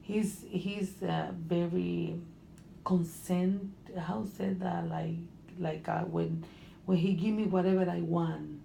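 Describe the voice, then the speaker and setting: A middle-aged woman from Honduras with a Spanish accent, speaking English as a second language in casual conversation, halting and often searching for words. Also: lowish voice, little pitch movement, vocal fry, warm tone. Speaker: interviewee. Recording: echoey room with faint noise.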